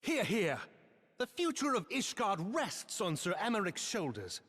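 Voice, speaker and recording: A gallant, idealistic knight in a fantasy game, heard with long hall reverb. His husky voice moves in lively sweeps as he declaims fervently in archaic phrases. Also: sits high, a little slow, full character voice.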